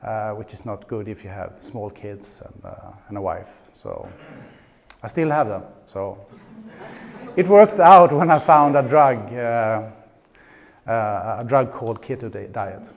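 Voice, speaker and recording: Slow-talking Swedish man giving a talk. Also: lowish voice, vocal fry. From Sweden. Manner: hesitant, many fillers and restarts, dry humor. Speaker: conference speaker. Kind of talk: conference talk. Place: conference stage.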